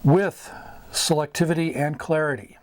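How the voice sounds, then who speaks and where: A middle-aged man giving a casual tutorial as host, speaking haltingly in short phrases with pauses. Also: fairly high pitch, steady tone. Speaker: host of a hobby radio tutorial. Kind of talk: casual whiteboard explainer. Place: small wood-paneled indoor room. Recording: clear background noise, room ambience.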